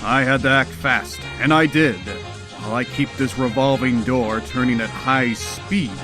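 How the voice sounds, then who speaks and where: A man's voice: medium pitch, steady tone, unhurried, music in the background, close to an everyday voice. Man playing a confident comic-book superhero. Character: confident adult male superhero, earnest and quick-acting. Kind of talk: comic book performance, first-person recounting.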